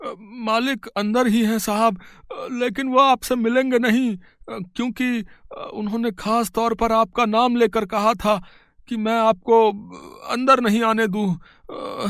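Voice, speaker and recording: An actor playing a kind, humble older male security guard in an animated story, speaking hesitantly with many "uh" fillers. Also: very high voice, no room sound, clean studio sound, full character voice. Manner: hesitant, full of "uh" fillers, deferential. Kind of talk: storybook voice acting.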